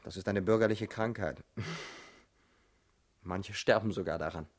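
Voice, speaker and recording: Low, husky, brooding young secretary. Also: male voice, measured pace. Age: 20s. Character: earnest, brooding young male secretary of twenty-four. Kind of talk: German radio drama acting.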